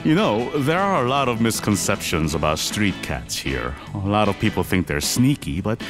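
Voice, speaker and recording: An older Korean dad in a comic podcast drama. He is solemn yet exuberant, with extremely animated pitch and loud music behind him. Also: moderate pace. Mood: fond, earnest.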